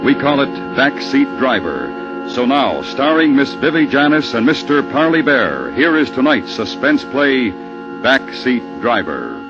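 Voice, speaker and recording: A man's voice: medium pitch, very animated, measured pace. A man announcing a 1950s radio thriller in a theatrical, portentous style. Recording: muffled vintage radio recording. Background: loud suspenseful music.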